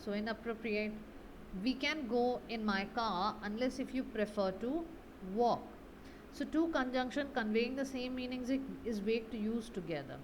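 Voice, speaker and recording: A woman's voice: on the high side, normal speed, slight background noise, low-quality recording. A woman English grammar teacher explaining exercise answers in a didactic way, often checking understanding with tag questions.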